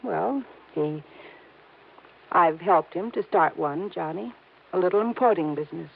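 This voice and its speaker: A distraught young wife from an old radio crime drama, pleading and emotional. The sound is thin and tinny, like a narrow old broadcast. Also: female voice, lowish voice, unhurried, gravelly, husky, heavily acted. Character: anxious young married woman, pleading. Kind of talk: 1950s radio drama acting.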